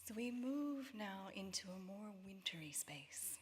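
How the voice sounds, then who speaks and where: A woman with a Canadian accent speaks as a worship leader, her voice at a middling pitch. She is solemn and deliberate, setting out a prayerful reflection one phrase at a time. Long reverb from a large hall surrounds her words. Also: lively intonation, slight background noise. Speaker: worship leader at a church synod. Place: large hall at a church meeting.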